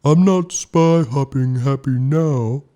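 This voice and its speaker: A man's voice: mid-range voice, dark tone, pitched above the performer's own voice, lightly acted. A man doing a sobbing, soulful beluga whale. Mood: mournful. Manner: sobbing, slow and pitiful. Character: sad trapped male beluga whale, soulful singer.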